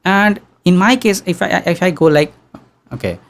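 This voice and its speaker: A young man teaching sound engineering in an online workshop, talking casually and humming low tones to demonstrate, close to a studio mic in a small room. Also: normal speed, a bit bright. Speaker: audio engineering instructor. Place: small room with green backdrop. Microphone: studio condenser mic on boom arm.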